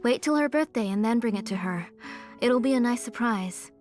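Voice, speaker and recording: Retired artisan auntie played by a woman: fairly high voice, earnest, caring yet stern, game dialogue over music. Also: animated, normal speed, darkish tone, close to an everyday voice. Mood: explaining. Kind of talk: video game dialogue acting.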